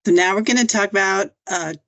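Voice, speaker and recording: Gravelly-voiced woman moderating. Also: mid-range voice, fairly bright tone. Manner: loose, with filler pauses. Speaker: webinar moderator. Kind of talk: casual moderating.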